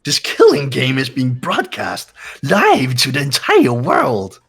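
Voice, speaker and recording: Game villain: sadistic bear mascot, slightly husky, wildly animated, gloating and theatrical. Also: medium pitch, slow pace, heavily acted. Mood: mocking. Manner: taunting, theatrical, swooping. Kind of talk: fan-voiced video game villain acting.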